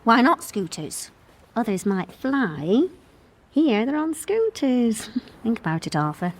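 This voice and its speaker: A woman's voice: a little high, big pitch swings, takes it slowly, exaggerated voice. An actress playing a curious, affectionate young Englishwoman in a radio comedy drama, light and playfully questioning.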